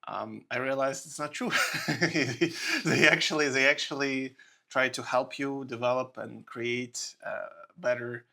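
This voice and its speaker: Bright-voiced host, rambling and chuckling. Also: male voice, mid-range voice, measured pace, creaky. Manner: rambling, laughs often, loose grammar. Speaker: podcast host. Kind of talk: podcast interview.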